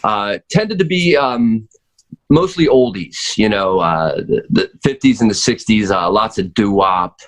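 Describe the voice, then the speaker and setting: A man's voice: lowish voice, expressive, a little slow, rough recording. An American man in his forties chatting casually as a podcast guest, hesitant and easygoing as he reminisces. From North Wales, Pennsylvania, United States. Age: 40s. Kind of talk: casual chat.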